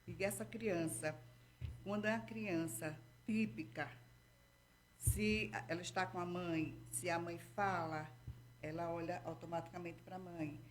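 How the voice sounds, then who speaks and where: A woman's voice: on the low side, steady tone, measured pace, slight background noise. A woman speaking Brazilian Portuguese, a therapist explaining patiently and didactically, checking in often with her listener. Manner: didactic, checks in with frequent "tá?" and "entendeu?". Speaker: guest therapist. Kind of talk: explanatory interview talk.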